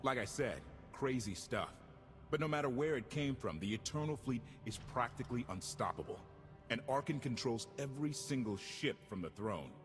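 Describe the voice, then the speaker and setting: An actor playing a plain-spoken former warship captain in a game cutscene. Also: male voice, mid-range voice, expressive, normal speed, natural voice. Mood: serious. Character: blunt former warship captain, earnest man. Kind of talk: video game cutscene acting. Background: game music.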